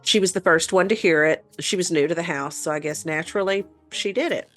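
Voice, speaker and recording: Female podcast presenter, low-pitched, folksy and conversational, walking through a mystery case. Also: a little fast, soft background music. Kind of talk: conversational storytelling of a paranormal case.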